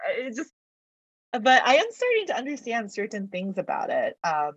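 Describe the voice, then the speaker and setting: A woman whose first language is Mandarin, chatting as a podcast guest, talkative and quick to laugh, with lots of fillers. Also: fairly high pitch, wildly swooping pitch, a bit bright, no room sound. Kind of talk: casual interview chat. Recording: dull lo-fi dry recording.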